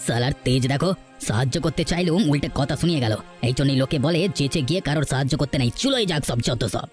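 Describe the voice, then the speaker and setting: Cartoon role: male actor as suspicious, grumbling villager, rapid curt speech, slightly high voice, soft music behind. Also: close to an everyday voice. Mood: irritated grumbling. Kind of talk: Bengali cartoon folk-tale dialogue acting.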